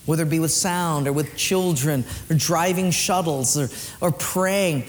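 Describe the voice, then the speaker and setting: A man preaching an earnest sermon as pastor in a church, swinging between lifted emphatic lines and hushed ones, then leading a prayer. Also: fairly high pitch, a little slow, long reverb tail, a little hiss or hum.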